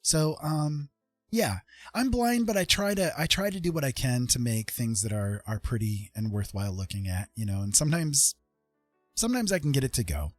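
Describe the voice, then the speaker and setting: A man hosts a casual livestream at an average pitch. He chats with viewers and thanks them effusively. Music plays behind his voice. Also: animated, moderate pace, dry recording. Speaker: livestream host.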